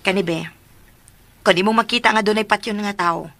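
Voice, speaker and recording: An actress plays a patient, kindly woman in a radio drama. Her voice is bright and sits at a middle pitch, with a gentle, explanatory manner. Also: a little fast, lightly acted. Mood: patient, instructive.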